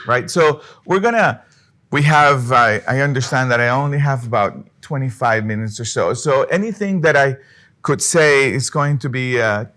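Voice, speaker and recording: A man's voice: mid-range voice, fairly bright tone. A middle-aged man from Colombia giving an earnest business talk to an audience, often restarting his phrases. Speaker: business speaker. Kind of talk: presentation to an audience.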